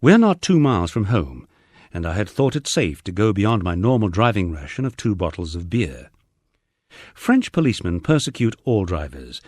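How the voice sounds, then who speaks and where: A man with a low, very animated voice narrates a humorous memoir. He reads as an audiobook storyteller with a wry touch. The sound is dry and close, with no room around it. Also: dry recording.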